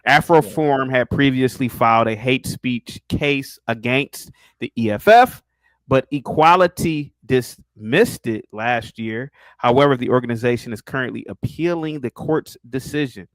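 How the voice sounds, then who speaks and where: A man's voice: mid-range voice, unhurried, no echo. A man from Ohio hosting a casual debate stream, reading articles aloud and teasing his guest in a mocking tone. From Ohio, United States. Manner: mocking, teasing, reads text aloud and comments. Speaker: stream host.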